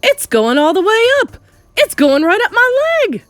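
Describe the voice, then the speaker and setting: A woman voicing a frantic male cook in a comic storybook, yelling in shrill alarm. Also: very animated, a little slow, no room sound, faint music behind, full character voice. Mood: frantic panic.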